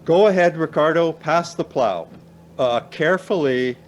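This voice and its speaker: An actor playing a cautious, pedantic older professor in a naturalistic radio play, formal, dry and reserved in manner. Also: male voice, on the high side, measured pace, a little hiss or hum, lightly acted. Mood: dry, measured.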